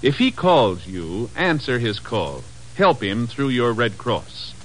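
A man announcing and narrating a 1950s radio programme in a scripted, deliberate, dramatic manner. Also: mid-range voice, sing-song, fairly bright tone. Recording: noisy old 1950s radio recording.

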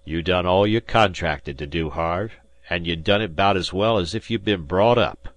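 A man doing a gruff old sea captain. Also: sits low, no echo, muffled recording. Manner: slow rustic dialect, halting admissions. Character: gruff old fishing-schooner captain, grudging.

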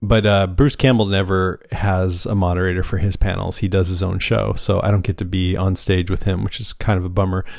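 Male podcast host, gravelly low voice, easygoing humor, dry studio sound. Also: flat delivery, a little fast, few pauses, no echo. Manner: laid-back, joking. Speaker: podcast host and panel moderator. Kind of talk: casual chat.

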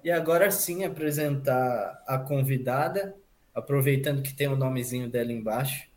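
A male podcast host with a Brazilian accent speaks in a medium-pitched voice. He interviews casually and conversationally, and the recording has a strong room echo. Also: moderate pace. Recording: echoey room sound.

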